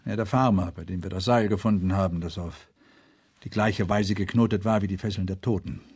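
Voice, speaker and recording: A man's voice: low voice, fairly even intonation, a little fast, a little mellow. An actor playing a troubled, sleepless male murder investigator who broods and thinks aloud in a radio drama. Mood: brooding, weary. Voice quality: husky.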